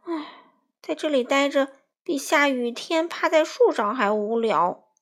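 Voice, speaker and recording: A woman's voice: a little high, animated, normal speed, fairly bright tone, slightly rough. A woman voicing a bossy, boastful, hot-tempered young male bird in a children's story, with an indignant, squabbling manner. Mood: sulky.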